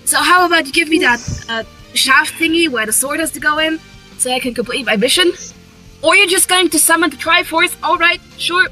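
A woman's voice: high voice, brisk, sharp tone, faint music behind. A woman voicing a cocky but easily flustered young male hedgehog hero, rambling casually in an improvised comedy fandub. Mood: sheepish.

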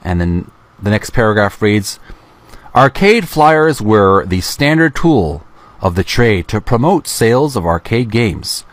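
A middle-aged man hosting a video, reading aloud from a book with casual commentary in a halting, deliberate way into a close microphone. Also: fairly low pitch, sing-song, dry recording. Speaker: video host.

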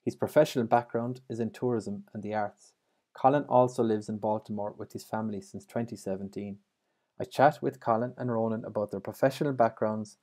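A man's voice: fairly low pitch, mellow. A man, hosting a casual interview over a video call, often repeating words as he asks. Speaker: host. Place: home room. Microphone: video call with earbuds.

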